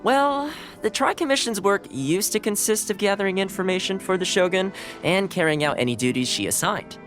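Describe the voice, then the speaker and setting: Chill young retainer, polite and explaining, lively up and down voice, soft game music under it. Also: male voice, high voice, a little fast. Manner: polite, even-tempered, explaining. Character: friendly young male retainer, easygoing diplomat. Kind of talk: game cutscene voice acting.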